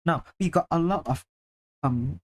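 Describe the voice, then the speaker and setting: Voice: mid-range voice, a little slow. Chatty streamer from Singapore. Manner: chatty, self-deprecating, swears casually. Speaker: livestreamer. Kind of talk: casual live-stream chat, reading chat.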